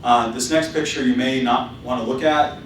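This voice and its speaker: A man's voice: mid-range voice, fairly even intonation, moderate pace, distant mic, a little hiss or hum. Man talking in a very echoey hall. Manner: casual, with many fillers and restarts. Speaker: company founder giving a talk. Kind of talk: presentation to students.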